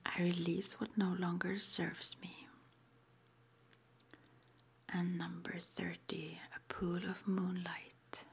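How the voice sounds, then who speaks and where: A woman doing an ASMR roleplay in a breathy, unvoiced whisper, with a gentle, unhurried manner. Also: fairly low pitch, level tone. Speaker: ASMR roleplay performer playing a witch school interviewer.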